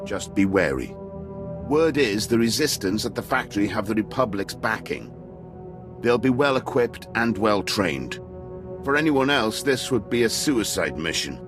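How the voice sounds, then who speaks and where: Deep, pompous Imperial colonel. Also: male voice, animated, a little slow, music in the background. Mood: grave, confident. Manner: formal, pompous, deferential military briefing. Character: stern male Imperial colonel, patriotic military commander. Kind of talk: scripted game cutscene acting.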